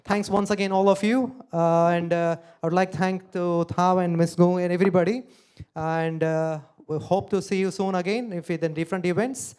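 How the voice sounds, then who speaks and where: A man's voice: high voice, fairly even intonation, moderate pace, darkish tone, clear, resonant tone. A man, a yoga master, giving a short conference speech in earnest, broken phrasing that repeats itself. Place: conference hall.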